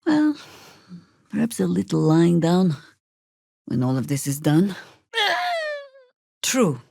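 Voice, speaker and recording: A woman playing a wry, battle-worn middle-aged druid in a video game, with sardonic, world-weary, deliberate phrasing. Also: sits low, no echo, clean studio sound, heavily acted. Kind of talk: video game character acting.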